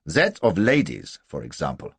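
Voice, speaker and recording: A man's voice: deep voice, wildly swooping pitch, normal speed, slightly rough, dry recording, full character voice. A man voicing a pompous, vicious Prussian officer, formal and coldly menacing, in an audiobook story. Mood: cold menace.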